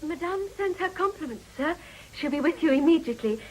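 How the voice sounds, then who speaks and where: An actress playing a deferential young maid, polite and eager to please, in a British period radio drama. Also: female voice, high-pitched, animated, a little fast, low-quality recording. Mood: demure, deferential. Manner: polite, servile.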